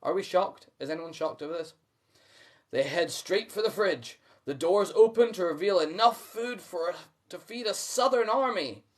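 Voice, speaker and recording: A man's voice: high-pitched, animated, moderate pace. A man reading a storybook aloud as host, with chatty asides to listeners.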